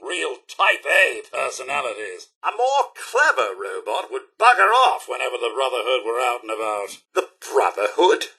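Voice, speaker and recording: A man's voice: medium pitch, wildly swooping pitch, normal speed, few pauses, sharp tone, breathy edge, heavily acted. A man playing a fussy, loyal robot butler in a video game, with a British accent and a formal, theatrical, drily sarcastic way of speaking. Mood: disdainful. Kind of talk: scripted video game voice lines.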